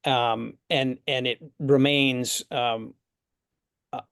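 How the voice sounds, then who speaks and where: A man who runs a housing business, answering an interview question reflectively with halting starts. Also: mid-range voice, steady tone, a bit bright, clear, resonant tone, no room sound, clean studio sound.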